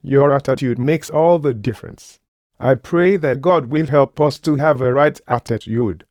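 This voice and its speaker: A man delivers a sermon as a preacher in a mid-pitched voice. He is emphatic, circling back over key phrases and posing rhetorical questions. The sound is dry, with very little room echo. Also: normal speed, a little mellow.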